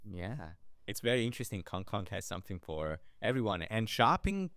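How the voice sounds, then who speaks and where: A male podcast host with a very low voice talks in a relaxed, soft-spoken way. His tone is smooth and clear. The recording is dry and close. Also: a little fast, no echo. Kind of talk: casual interview talk.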